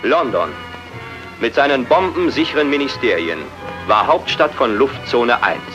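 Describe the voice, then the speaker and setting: A man reading scripted dramatic narration in a solemn, measured manner. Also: medium pitch, lively intonation, lightly acted. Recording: dull old broadcast with music behind.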